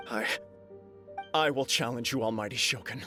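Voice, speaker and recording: An actor playing a remorseful young heir of a fallen clan in an anime game, speaking formally and earnestly. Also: male voice, mid-range voice, natural voice. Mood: resolute. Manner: formal, earnest, self-reproaching. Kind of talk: English anime game voice acting.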